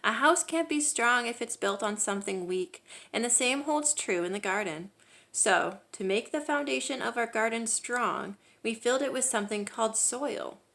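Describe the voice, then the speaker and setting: A woman reading a children's picture book aloud as a storyteller, with expressive, emphatic delivery. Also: on the high side, normal speed, vocal fry.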